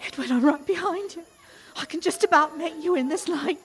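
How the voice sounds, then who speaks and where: A woman's voice: high voice, brisk, noisy background, natural voice. An actress playing a distressed, tearful, defiant woman fleeing a wartime massacre in an audio drama, with frantic repetitions. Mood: panicked, pleading. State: distressed, crying.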